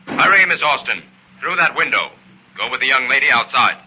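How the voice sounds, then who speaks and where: An actor playing a commanding crime-fighting avenger in an old-time radio drama, speaking in terse orders. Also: male voice, medium pitch, animated, fairly quick, bright, forceful tone, slight background noise. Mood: urgent, commanding. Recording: muffled vintage radio recording.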